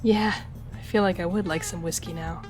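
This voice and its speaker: Woman playing a low-voiced, flirtatious roguish gambler, music behind. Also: steady tone, moderate pace, natural voice. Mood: relaxed. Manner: teasing, charming, conversational. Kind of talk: intimate audio roleplay addressed to listener.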